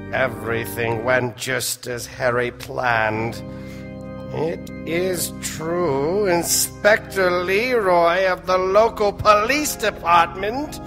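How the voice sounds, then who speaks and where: A man playing an ominous, theatrical narrator of eerie tales in an old-time radio drama. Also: high-pitched. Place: stage in a theater hall. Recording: echoey stage hall reverb. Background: music.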